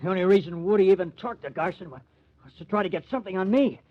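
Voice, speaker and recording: An actor playing a scornful, blustering American ballplayer villain, defiant and cornered, in an old-time radio crime drama. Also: male voice, sits high, expressive, fast talker. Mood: bitter. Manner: sneering, blustering. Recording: dull vintage radio recording.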